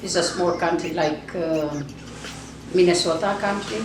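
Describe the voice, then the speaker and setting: A woman with a Romanian accent speaking English as a second language, with halting, restarting phrasing in a casual interview. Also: lowish voice, echoey room, far from the mic, music in the background, rough recording. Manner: non-native grammar, frequent restarts. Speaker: nursing school owner.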